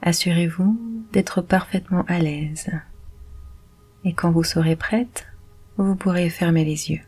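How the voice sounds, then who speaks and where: A woman guiding a yoga nidra meditation in a calm, measured, soothing manner. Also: lowish voice, husky. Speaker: meditation guide.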